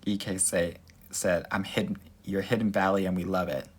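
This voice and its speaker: A young man with a slightly low voice runs a live dating Q&A, reading viewer comments into his phone. He talks with sass and energy and calls his listeners girl and honey. He comes from Southern California. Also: fairly even intonation, normal speed, slight background noise. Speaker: dating coach.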